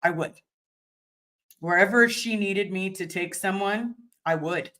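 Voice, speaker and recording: Hoarse, deep-voiced woman chatting. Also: unhurried, fairly bright tone. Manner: rambling, repeats words and restarts often. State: slightly hoarse. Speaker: livestream host reading viewer chat. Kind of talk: casual live chat.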